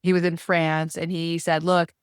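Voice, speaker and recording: A woman's voice: lowish voice, little pitch movement, normal speed, clean tone, no echo, studio quality. A woman in her forties, an interview guest from Iran, telling a personal story in an earnest, conversational way. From Iran, moved to the US as a child. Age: 40s. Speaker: interview guest, law professor.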